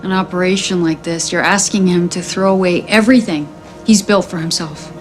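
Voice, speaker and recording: An actress playing a firm, low-voiced female cop. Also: steady tone, a little fast, close to an everyday voice. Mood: stern. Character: firm, no-nonsense female police officer. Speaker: police officer. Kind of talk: TV drama acting. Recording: TV clip with faint music.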